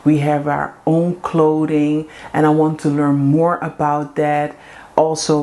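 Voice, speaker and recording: A woman speaks straight to her viewers in a casual vlog. Her voice sits low, and her talk is earnest, heartfelt and chatty, with the odd "um" as she gathers her thoughts. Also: a little mellow. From Suriname-Creole family in the Netherlands. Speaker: vlog host. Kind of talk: casual direct-to-camera talk.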